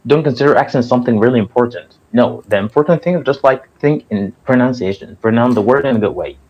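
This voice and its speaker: Young egyptian guy, arabic is his first language, chatty and earnest, says like a lot, middle pitch, kinda muffled sound. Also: quick pace, a little mellow. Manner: earnest, filler-heavy with frequent "like" and "you know". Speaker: guest. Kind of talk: casual conversation. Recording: slightly dull, muffled audio.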